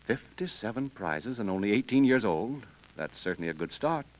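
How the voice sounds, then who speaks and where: A man's voice: fairly low pitch, animated, normal speed. A man hosting and announcing in a formal, declamatory 1930s radio style. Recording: dull vintage radio recording.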